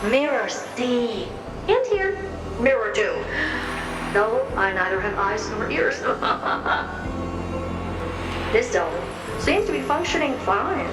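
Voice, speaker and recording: An actress voicing a sentient mirror in a video game, a squeamish, timid but cheeky sidekick with an excitable, expressive delivery and an echoing effect. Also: female voice, on the high side, takes it slowly, vocal fry, long pauses, full character voice. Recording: echoey, distant, loud music behind.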